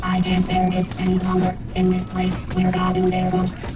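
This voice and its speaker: A woman's voice: on the low side, completely flat delivery, normal speed, lightly acted. A woman voicing a self-aware robot from an analog horror animation, pained and pleading in short, repetitive, stilted phrases. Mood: distressed, pleading. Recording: roomy echo, loud music underneath.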